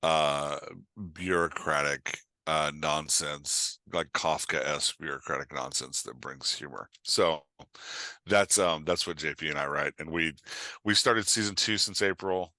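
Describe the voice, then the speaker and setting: A man's voice: a bass voice, unhurried, sharp tone, no echo. A middle-aged man chatting casually as a podcast guest, informal and jokey, rambling as he goes. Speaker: podcast guest, author and writing coach. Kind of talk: casual chat.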